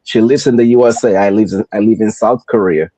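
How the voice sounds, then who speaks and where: Mellow Nigerian man hosting a podcast. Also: fairly low pitch. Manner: rhetorical, deliberate repetition. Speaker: podcast host. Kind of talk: motivational podcast talk.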